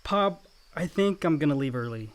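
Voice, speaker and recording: An actor playing a caring but troubled grown son, understated and guarded, in a naturalistic radio drama. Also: male voice, fairly high pitch, lively intonation, normal speed, slight background noise, lightly acted. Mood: uneasy, withdrawn. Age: young adult.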